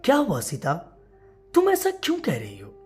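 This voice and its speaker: A woman's voice: on the high side, huge pitch swings, moderate pace, pitched above the performer's own voice. A woman storyteller voicing a trusting, puzzled old father-in-law in a simple, questioning manner.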